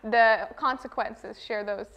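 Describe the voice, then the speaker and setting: A woman's voice: on the high side, steady tone, slow pace, creaky, fairly bright tone, poor audio. A young woman hosting a casual interview, asking her guest questions in an informal conversational way. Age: young adult. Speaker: interview host. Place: small furnished indoor room.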